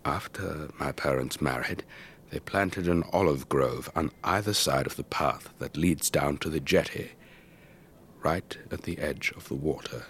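An actor playing an elderly English retired air force officer, telling his story in a measured, wistful, old-fashioned way for a radio drama. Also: rumbling low, fairly bright tone, exaggerated voice. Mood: wistful, reminiscing. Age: older. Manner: measured, old-fashioned, reflective, breathy trailing phrases. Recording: faint background noise.

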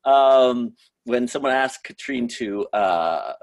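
A man chatting casually in a discussion of a play, speaking in a halting, musing way and telling anecdotes. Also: mid-range voice, lively intonation, creaky, dry recording. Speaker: guest commenter, writing teacher.

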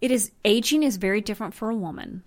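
A woman's voice: medium pitch, fast, no echo. A middle-aged woman from Colorado, a podcast guest, chatting loosely and candidly after a drink. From Colorado, USA. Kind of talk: casual chat.

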